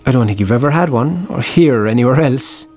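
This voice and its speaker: An actor playing a probing, reflective writer with an Irish accent, narrating and talking in a radio drama. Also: male voice, mid-range voice, lively intonation, fast, a little mellow, faint music behind, natural voice. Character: probing, reflective male writer telling a troubled friend's story.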